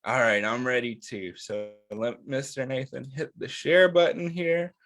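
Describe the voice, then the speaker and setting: A man's voice: mid-range voice, fairly even intonation, a bit bright. A man hosting storytime for young children, talking to them in simple, reassuring words and often checking that they are following along. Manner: simple, reassuring, checks in with listeners, drawn-out phrasing. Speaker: children's storytime host reading a picture book. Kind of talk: storytime read-aloud and chat to young children.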